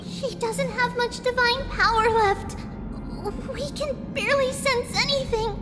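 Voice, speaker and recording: A small, childlike game girl with an extremely high voice. She speaks slowly and earnestly, in simple phrases, in a reverberant space with music clearly behind her. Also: steady tone. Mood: worried. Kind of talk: video game voice acting.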